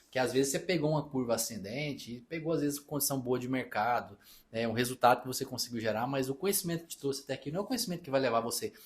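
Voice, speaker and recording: A man from Brazil telling stories in a casual podcast chat, acting out the dialogue as he goes. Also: mid-range voice. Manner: fast-talking, storytelling, reenacts dialogue. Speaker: accountant and business partner.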